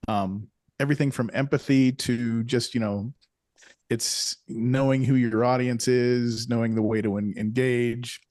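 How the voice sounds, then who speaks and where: A man's voice: medium pitch, darkish tone, no echo. Middle-aged man, podcast co-host, reflective, dry close mic. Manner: reflective, conversational, many you-knows. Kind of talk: casual podcast conversation.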